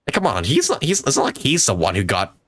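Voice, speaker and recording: A man giving casual gameplay commentary as a streamer, speaking in a halting, stammering way. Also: medium pitch, huge pitch swings, a bit bright. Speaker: game streamer. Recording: game music behind.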